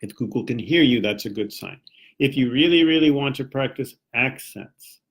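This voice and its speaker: A man's voice: medium pitch, lively intonation, moderate pace. A young man with a General American accent from Washington, D.C., teaching English on a live stream in a friendly, chatty way with many rhetorical questions. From Washington, D.C., United States.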